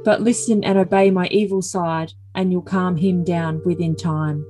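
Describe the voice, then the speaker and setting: Woman playing creepy doting boyfriend, syrupy, commanding, deliberate, faint music behind. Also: lowish voice, steady tone, warm tone, close to an everyday voice. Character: controlling, doting boyfriend, creepy and self-important. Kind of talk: overly dramatic reading of text messages.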